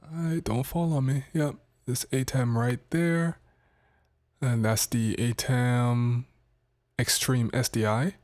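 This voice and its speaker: Chill guy on a livestream with a bit of a cold, kinda nasal, takes his time, mid-pitched voice. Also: fairly even intonation, a little mellow. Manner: laid-back, chatty, pauses to fiddle with gear. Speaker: tech streamer. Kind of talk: casual live stream walkthrough. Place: home streaming setup, indoors. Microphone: studio mic on desk.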